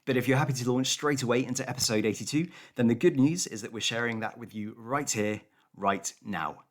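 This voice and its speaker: A man, a comedy podcast writer, giving a tongue-in-cheek scripted announcement in a deadpan manner. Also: medium pitch, brisk.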